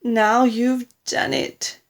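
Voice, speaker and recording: A woman's voice: fairly high pitch, lively intonation, a little slow, darkish tone, pitched above the performer's own voice. A woman voicing an anxious, flustered mother in a storybook reading, crying out and pleading in a wail. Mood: alarmed, scolding. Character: anxious, wailing mother, frantic and easily flustered.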